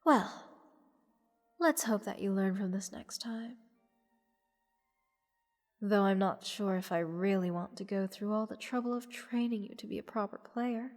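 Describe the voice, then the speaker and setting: A woman playing a haughty, bored goddess in a roleplay audio drama, condescending and teasing in a languid, breathy delivery. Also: mid-range voice, unhurried, pauses often, a little mellow, lightly acted. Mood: condescending, analytical. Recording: long reverb, music underneath. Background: orchestral music.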